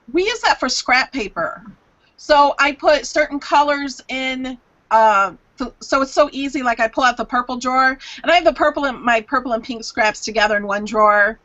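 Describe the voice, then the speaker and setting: Chatty woman co-host, slightly high voice, lo-fi stream audio. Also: fairly bright tone. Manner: chatty, shares personal anecdotes. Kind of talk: live online craft show chat.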